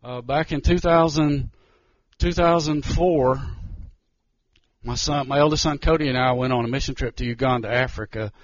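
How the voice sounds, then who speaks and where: A man's voice: medium pitch, no echo, dull audio. Nervous man giving testimony. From Georgia, US. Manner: nervous, halting, many restarts. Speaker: missionary. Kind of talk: church testimony talk.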